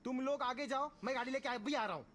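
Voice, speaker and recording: A man's voice: very high voice, fast talker, a bit bright. A man voicing a brash, street-smart gamer for a game voice pack, with a Mumbai accent and exaggerated slang swagger. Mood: brash, urgent. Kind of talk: game voice pack one-liners.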